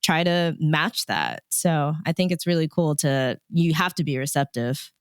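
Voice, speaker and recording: A woman's voice: low voice, animated, unhurried, clear, resonant tone. A woman radio DJ answering questions as a guest in a casual, chatty, informal interview.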